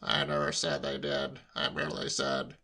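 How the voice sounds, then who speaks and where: A man voicing an irritable, exasperated older English gentleman in a comic audiobook, testy, strained and emphatic. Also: medium pitch, little pitch movement, moderate pace, gravelly. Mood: defensive, irritated.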